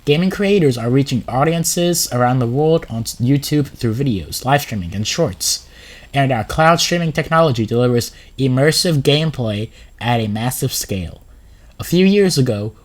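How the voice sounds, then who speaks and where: A man with a sore throat and a runny nose giving casual gaming commentary over a screen recording, reading a blog post aloud and rambling with fillers and restarts. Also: mid-range voice.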